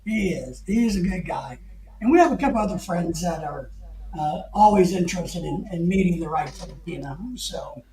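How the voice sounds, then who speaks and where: A man's voice: high-pitched, expressive, normal speed, a crowd in the background. A man hosting a livestream who jokes and teases while he reads chat comments aloud. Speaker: livestream host. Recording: echoey room.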